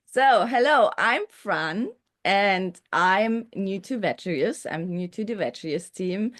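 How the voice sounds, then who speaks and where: A woman's voice: mid-range voice, expressive, slow, fairly bright tone. A woman hosting a personal interview, introducing and asking questions in a conversational style.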